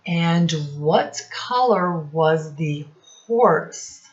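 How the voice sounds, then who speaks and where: A woman's voice: lowish voice, animated, drawn-out words, highs cut off. A woman leading a storytime lesson for young children, encouraging them and asking them questions directly. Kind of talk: read-aloud lesson quizzing young children.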